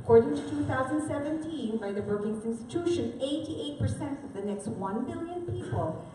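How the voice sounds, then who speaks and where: A woman's voice: sits high, animated, normal speed, warm tone, a little hiss or hum. A woman from the Philippines giving a live seminar presentation, talking conversationally and often checking in with the audience. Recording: echoey hall from far off.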